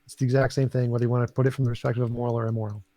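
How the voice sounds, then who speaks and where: A man's voice: mid-range voice, little pitch movement, mellow, dry recording. A man debating his moral theory in an online voice chat, blunt and impatient, talking over others. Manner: blunt, impatient, rapid-fire.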